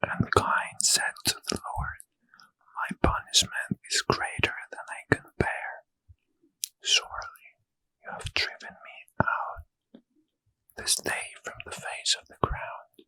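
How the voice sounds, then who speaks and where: Man in a bright close whisper. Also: extremely high pitch, completely flat delivery, dry recording, low-quality recording. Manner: soft unvoiced whisper, measured. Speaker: reader. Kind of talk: whispered ASMR Bible reading. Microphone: close mic.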